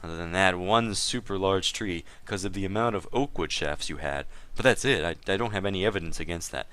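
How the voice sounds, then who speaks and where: A stubborn town leader in an online game , read out by a man. The voice is very low and cleanly resonant. He lectures in a matter-of-fact way, with dry humor. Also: a little fast, a bit bright, clear, resonant tone. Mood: stern, exasperated. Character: stern male town leader in an online-game dispute, stubborn, dryly joking. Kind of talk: dramatic reading of a gaming chat log.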